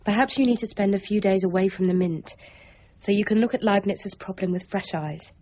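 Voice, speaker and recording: An actress playing a brisk, teasing but caring young niece in a period radio drama, forthright and gently goading. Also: female voice, mid-range voice, highs cut off, lightly acted. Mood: concerned.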